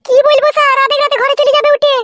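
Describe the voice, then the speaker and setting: A woman's voice: extremely high pitch, little pitch movement, rapid-fire, fairly bright tone, no room sound. A woman voicing a pushy, indignant young village widow in a comedy cartoon, complaining insistently. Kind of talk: Bengali comedy cartoon dubbing.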